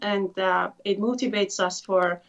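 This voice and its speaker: A woman from Iran, an author telling her life story in an interview, thoughtful with frequent pauses. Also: medium pitch, steady tone. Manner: thoughtful, many filler pauses. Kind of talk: conversational storytelling. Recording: muffled lo-fi audio.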